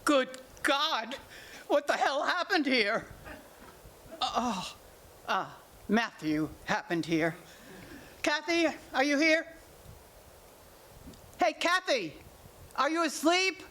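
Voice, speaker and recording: A woman's voice: high voice, sing-song, takes it slowly, pauses often, fairly bright tone, slight background noise, exaggerated voice. A woman in a staged play reading, playing a blunt mother of grown children with dry sarcasm. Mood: startled.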